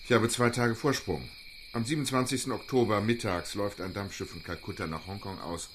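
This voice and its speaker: A man's voice: lowish voice, steady tone, a little fast, natural voice. Guy playing unflappable rich english gent, formal n precise, radio play, lots of bug noise behind. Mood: composed. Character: composed, wealthy English gentleman traveller, unflappable and decisive.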